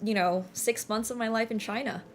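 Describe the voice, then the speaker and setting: A woman's voice: fairly high pitch, steady tone, normal speed. Chatty young woman streamer, Finnish native. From Finland. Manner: chatty, laughs often, lots of "like" and "bro". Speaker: livestreamer. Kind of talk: casual stream chat.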